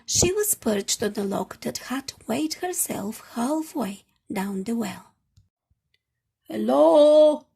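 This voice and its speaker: Slow, husky woman reading a storybook. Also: on the high side, sing-song, a little mellow. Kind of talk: expressive children's storybook narration.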